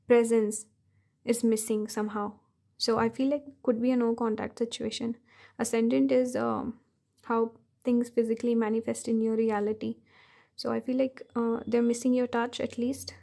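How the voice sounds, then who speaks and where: A woman giving a pick-a-card tarot reading, her voice hoarse and strained from many readings, talking through her impressions at an unhurried pace. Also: on the high side, dark tone. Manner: thinking aloud, unhurried. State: hoarse, tired voice. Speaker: tarot reader.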